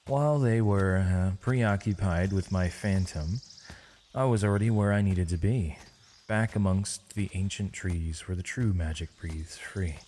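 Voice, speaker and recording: Guy acting as a wise gentle mage for asmr roleplay, real low mellow voice, soft and solemn, poetic. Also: measured pace, a little hiss or hum. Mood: quietly assured. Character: misunderstood male mage, gentle, wise, mysterious, tenderly reassuring. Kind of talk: ASMR roleplay, scripted dramatic monologue.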